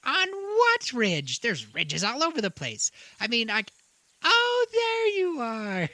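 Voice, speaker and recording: A performer voicing an excitable, bumbling halfling who huffs, exclaims and giggles in an audio drama. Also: mid-range voice, takes it slowly, no room sound, exaggerated voice. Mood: excited. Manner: exclaiming, huffing, giggling, swooping. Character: excitable, bumbling halfling storyteller companion named Solomon. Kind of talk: audio drama acting, muttering to self.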